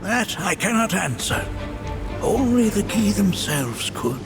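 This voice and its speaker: A grave, gentle old mentor for a video game cutscene. He speaks slowly in formal, archaic phrases, pitched fairly high for a man and full of theatrical rises and falls. Loud music plays behind him. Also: sing-song, heavily acted. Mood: solemn.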